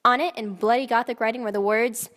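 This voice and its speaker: A woman's voice: a little high, steady tone, quick pace, a bit bright. A woman giving a theatrical, comedic dramatic reading of fan fiction as the narrator. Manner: theatrical, often breaking into laughter. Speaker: narrator, reader. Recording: long hall reverb.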